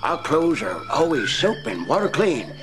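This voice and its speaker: A man's voice: big pitch swings, unhurried, over music. An actor playing a chirpy, folksy laundryman in an old-time radio soap advertisement, with an eager, overfriendly sales delivery. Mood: chirpy, confiding. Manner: eager sales pitch, exaggerated friendliness.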